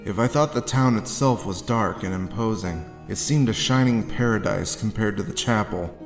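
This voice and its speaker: Audio drama lead: man playing a haunted, sardonic male drifter-narrator, low-pitched, brooding, over background music. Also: moderate pace, close to an everyday voice. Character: haunted, gruff male drifter narrating dark horror adventure. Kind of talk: first-person dramatic narration with dialogue.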